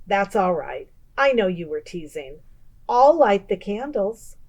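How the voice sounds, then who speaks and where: A woman reader puts on a kindly old lady from a storybook. She chats away in an unhurried, slightly lifted voice, fussing and teasing with affection as a hospitable hostess would. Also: medium pitch, sing-song, a little mellow. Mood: friendly chiding. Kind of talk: children's storybook character voice.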